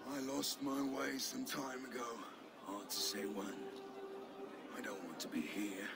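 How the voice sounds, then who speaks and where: A man acting a weary Norse warrior with a gravelly voice, homesick yet boisterous, in a dramatic game cutscene. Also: mid-range voice, flat delivery, measured pace, crowd noise. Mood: weary, wistful.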